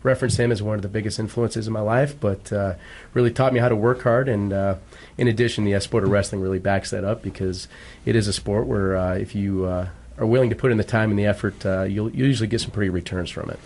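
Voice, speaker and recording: A man's voice: on the low side, steady tone, fast talker, a little mellow. An American man from Michigan, a modest and polite coach speaking as a guest in a casual radio interview. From Michigan, United States. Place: radio studio. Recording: clear background noise.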